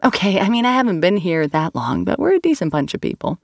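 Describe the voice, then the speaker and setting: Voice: mid-range voice, big pitch swings, quick pace, vocal fry, dry recording, clean studio sound, voice pushed up. A narrator voicing a friendly young team member who speaks in an upbeat way.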